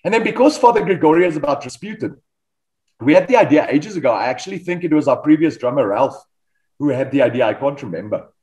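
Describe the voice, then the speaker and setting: A man's voice: fairly high pitch, quick pace. A South African man in his thirties, whose first language is Afrikaans, tells stories about his band at an easy pace. He often stops with "um" and restarts his sentences. His voice comes through a desk microphone on a video call. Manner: relaxed storytelling, frequent ums and restarts. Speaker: metal band vocalist, guest. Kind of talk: casual video-call interview. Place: home studio with band posters.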